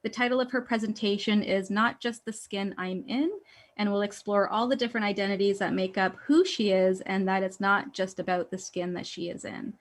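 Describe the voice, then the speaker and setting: A woman's voice: mid-range voice, steady tone, a little fast. A woman hosting an online event over a video call, introducing a speaker in a friendly, somewhat flustered way. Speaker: event host.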